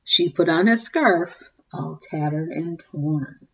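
A woman in her forties reading a picture book aloud to young children, expressively, pausing to ask them questions and comment. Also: low voice, vocal fry, fairly bright tone, slightly rough, lo-fi sound. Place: small home room, indoors. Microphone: webcam or laptop mic at desk distance.

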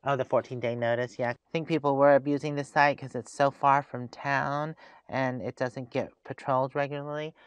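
A man's voice: medium pitch, level tone, normal speed, clear, resonant tone, dry recording. A man hosting a casual travel vlog, chatting and thinking aloud as he drives, recorded inside a vehicle with road noise. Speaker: host.

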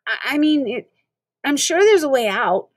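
A woman's voice: high voice, very animated, no echo, studio quality, pitched above the performer's own voice. Woman reading as a hesitant, apologetic schoolboy. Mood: nervous. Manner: halting, unsure. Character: hesitant, apologetic schoolboy, the narrator's gloomy friend.

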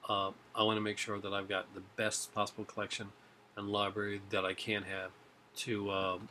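A man's voice: fairly low pitch, completely flat delivery, normal speed, sharp tone, a little hiss or hum. A man in his forties chatting casually to a webcam at home about his disc collection, rambling with many fillers and restarts. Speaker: collector vlogger. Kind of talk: casual chat to camera. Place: home room with shelves of discs. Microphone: webcam at desk.

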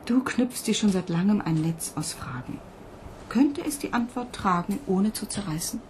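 A woman acts as a composed ground-control psychologist in a radio play. Her medium-pitched voice is measured and professional, over faint noise. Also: lively intonation, a little mellow, close to an everyday voice. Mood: calm, advising. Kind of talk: German radio drama acting.

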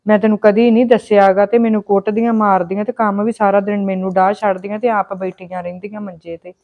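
A woman's voice: mid-range voice, level tone, fast talker, phone-quality sound, close to an everyday voice. A woman playing a tearful young unmarried sister-in-law who keeps complaining. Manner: complaining, plaintive. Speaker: actor in a family skit.

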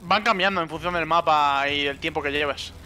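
Bright, sarcastic man on voice chat. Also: fairly high pitch, moderate pace, a little hiss or hum. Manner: sarcastic, wisecracking. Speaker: friend on voice chat. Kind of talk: casual chat while gaming.

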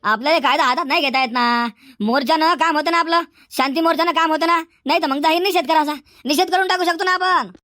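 A man's voice: very high-pitched, fast, bright, forceful tone, dry recording, studio quality. A man voicing a feisty, henpecked old village uncle in a comedy cartoon, with a Varhadi Marathi accent and emphatic, repetitive phrasing. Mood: firm, insistent. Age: older.

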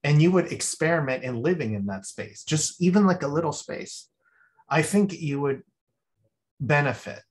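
A man's voice: on the high side, normal speed. Rambling male critic on a video call. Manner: rambling, thinking aloud with many restarts. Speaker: guest critic at a design review. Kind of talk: spoken feedback on a student project.

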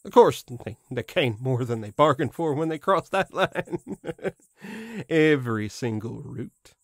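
A man's voice: medium pitch, animated, slow, no room sound, studio quality. A man playing a proud, mischievous living scarecrow who guards a farm, musing in a theatrical, pompous way in an audio drama monologue. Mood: wistful, proud, then darkly amused. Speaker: voice actor.

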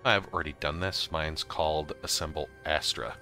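A man with a low, forceful voice hosts an informal how-to for gamers. He talks through each step in a casual way, with a little music behind him. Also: steady tone, normal speed. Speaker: host of a gaming tutorial series. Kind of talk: casual software tutorial narration over slides and screen capture.

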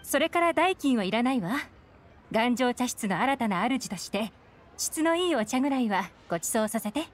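A woman's voice: on the high side, expressive, normal speed, close to an everyday voice. Cool, composed young woman in command acted. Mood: composed, assured. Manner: poised, matter-of-fact, assured. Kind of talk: anime video game dialogue.

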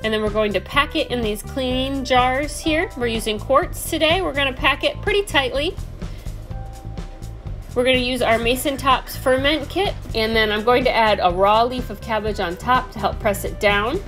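A woman's voice: a little high, normal speed, a bit bright, soft background music. Chatty woman explaining kitchen steps. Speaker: homesteading channel host. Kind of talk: casual cooking voice-over. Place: home kitchen.

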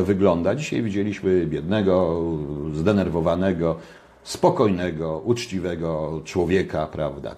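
An older man with a low, mellow voice delivers dry, sarcastic political commentary straight to his webcam. He talks from a home kitchen and keeps hammering his points with repetition and irony. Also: a little hiss or hum. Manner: ironic and sarcastic, repeats points for emphasis.

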